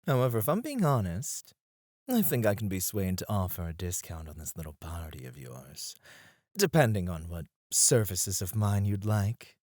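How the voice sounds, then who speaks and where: A man playing a suave, flirtatious club escort in an intimate ASMR roleplay, teasing and breathy, close to the mic. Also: low voice, big pitch swings, darkish tone, no echo. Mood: playful, coaxing. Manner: slow, teasing, seductive, often dropping to a breathy murmur. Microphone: close studio microphone, dry.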